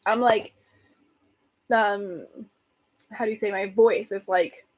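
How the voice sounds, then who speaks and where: A woman hosting a casual livestream, rambling and answering viewer comments, her voice getting hoarse from talking so much. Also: mid-range voice, steady tone, takes it slowly. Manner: chatty, rambling, reads and answers comments, laughs often. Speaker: livestream host chatting with viewers.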